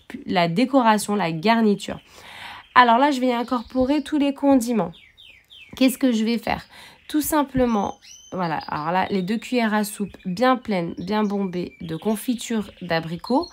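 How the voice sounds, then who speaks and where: A woman with a mid-pitched voice hosts a home cooking video. She talks viewers through the steps in a casual, chatty way, repeating herself and often asking a question only to answer it herself. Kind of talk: casual recipe narration addressed to viewers.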